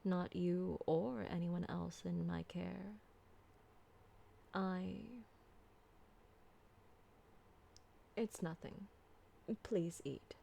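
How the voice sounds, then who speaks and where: A woman playing an ancient, refined and doting female vampire in an intimate ASMR roleplay, soft-spoken and tender, with genteel, formal wording. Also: fairly low pitch, fairly even intonation, slow pace, vocal fry, long pauses, muffled recording, a little hiss or hum, full character voice. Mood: troubled, tender, then tender, reassuring.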